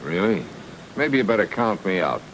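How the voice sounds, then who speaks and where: An actor playing a hard-boiled, sardonic private investigator in a noir radio drama, with dry slangy wisecracks. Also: male voice, lowish voice, big pitch swings, moderate pace, a bit bright, slight background noise, low-quality recording.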